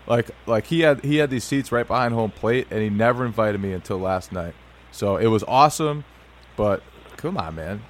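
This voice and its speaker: A young man hosting a sports podcast, chatting informally and haltingly with lots of slangy asides. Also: lowish voice, animated. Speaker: podcast host, sports reporter. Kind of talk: casual chat interview.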